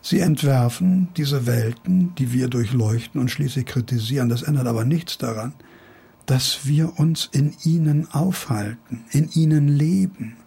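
An actor playing an ailing, sardonic older literature professor in a radio drama, condescending and needling, with a gravelly, husky voice. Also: male voice, mid-range voice, a little slow, warm tone, full character voice. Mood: weary, philosophical.

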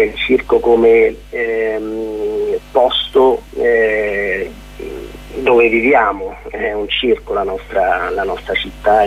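A man giving an informal radio interview as a guest playwright, halting and searching for words. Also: on the low side, a little hiss or hum. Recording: thin, narrow telephone line on radio.

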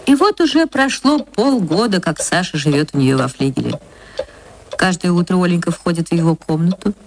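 Woman narrator reading classic fiction on the radio, expressive, deliberate, dramatic. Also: on the low side, background noise.

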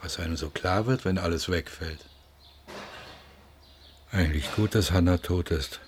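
An actor playing a grieving middle-aged father in a radio play, musing haltingly and plainly about his loss. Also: sits low, a little hiss or hum. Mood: resigned. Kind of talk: interview-like monologue in a radio play.

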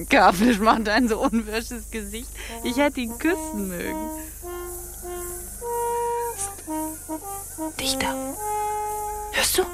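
An actress playing an imperious, mocking pirate captain in a theatrical radio play, brooding and scornful. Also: female voice, on the high side, fairly bright tone, heavily acted. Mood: commanding, teasing. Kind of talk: stage-like radio play acting.

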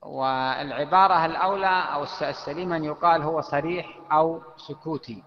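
A man's voice: a little high, steady tone. Man teaching in an echoey hall. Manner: explanatory, with frequent pauses and fillers. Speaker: teacher explaining a classical text. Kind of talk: lecture on Islamic legal theory. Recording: echoey hall, dull lo-fi sound.

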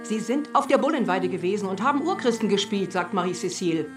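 A woman playing a harried, stern widowed mother of unruly children in a radio drama, sharp and exasperated. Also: mid-range voice, expressive, quick pace, recorded from a distance, natural voice. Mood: exasperated reporting. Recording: roomy, off-mic, music behind.

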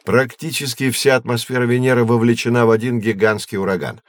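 A man playing a patient, learned astronomer who guides children in an educational audio drama, measured and didactic. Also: medium pitch, flat delivery, no room sound, clean studio sound, lightly acted. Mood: explaining. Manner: measured, didactic, clearly enunciated.

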